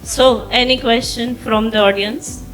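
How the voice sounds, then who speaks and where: A woman moderates a panel discussion slowly, with a bright, high voice, pausing and repeating her words as she goes. Faint music sits underneath. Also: fairly even intonation, poor audio. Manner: halting, repeats words, fillers. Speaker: workshop moderator.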